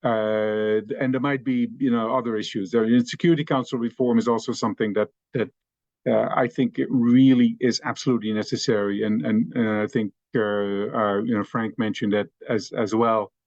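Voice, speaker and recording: This is a man with a middle-pitched voice speaking hesitantly, full of fillers and restarts. His audio is dry and muffled over a video call. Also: steady tone, normal speed, darkish tone, dry recording. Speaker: discussion participant. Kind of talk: online discussion remarks.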